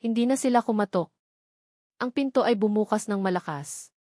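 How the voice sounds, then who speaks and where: A woman with a Filipino accent reads a scripted romance drama as narrator, in a measured, dramatic storytelling manner with short pauses. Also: medium pitch, warm tone, dry recording, clean studio sound.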